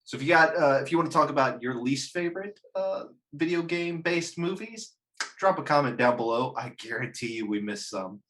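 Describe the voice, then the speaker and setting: A man's voice: on the high side, animated, a little fast, a bit bright. A man hosting a casual film discussion, swearing freely, blunt and joking as he gives his opinions. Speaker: host of a film discussion show. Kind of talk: casual chat, movie commentary.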